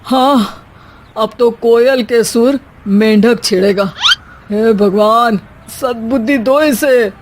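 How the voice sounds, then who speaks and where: A woman's voice: a little high, takes it slowly, slight background noise. A woman voicing a sarcastic, grumbling elderly husband who teases and mocks in a comic family story. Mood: exasperated. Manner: mocking, grumbling, drily teasing. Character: exasperated, sarcastic elderly husband and father-in-law.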